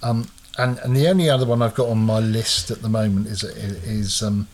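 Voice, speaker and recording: A man's voice: lowish voice, steady tone, normal speed. Man, casual quiz-style chat, ums and restarts. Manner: chatty, quizzing with hesitant fillers. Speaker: host.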